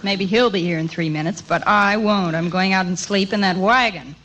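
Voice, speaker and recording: A woman's voice: medium pitch, moderate pace, a bit bright, natural voice, low-quality recording. An actress playing a tough, bossy, scheming frontier woman in old radio comedy, with a sardonic, commanding manner. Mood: sly. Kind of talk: 1940s-50s radio comedy acting. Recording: old radio recording with faint noise.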